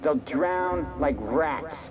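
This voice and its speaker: An actor playing a grandiose, oratorical city politician giving a public speech in a video game. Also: male voice, fairly high pitch, animated, extremely slow, dull audio, background noise. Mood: triumphant. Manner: oratorical, emphatic. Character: grandiose male city politician addressing a celebration.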